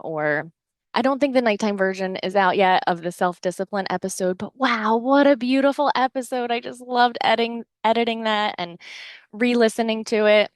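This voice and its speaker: A woman's voice: medium pitch, no room sound, studio quality. A middle-aged American woman chatting casually and encouragingly, like a podcast host giving a motivational talk. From New Jersey shore, United States. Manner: chatty, encouraging, conversational asides with frequent "right?".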